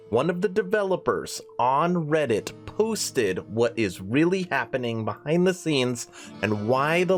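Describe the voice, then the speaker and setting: A man hosting a gaming news channel, sounding nasal from a cold, talking earnestly in a conversational way. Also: medium pitch. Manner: earnest, conversational, reads a forum post aloud. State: has a cold.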